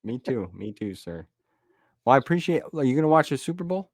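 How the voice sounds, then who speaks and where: A man's voice: mid-range voice, big pitch swings, fairly quick, darkish tone, no room sound, studio quality. A middle-aged American man hosting a podcast, chatting casually in a folksy, plain-spoken way. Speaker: podcast host. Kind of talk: casual chat.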